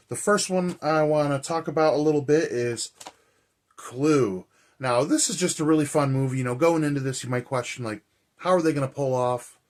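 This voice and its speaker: Hoarse man rambling about movies. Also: medium pitch. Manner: rambling, friendly, lots of fillers. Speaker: movie vlogger. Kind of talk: casual chat.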